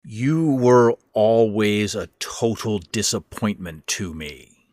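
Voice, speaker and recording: A man voicing a frail, scornful old stepfather in a horror audio story, with a hoarse, rasping voice and a bitter, forceful manner. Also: lowish voice, animated, slow pace, dry recording, studio quality.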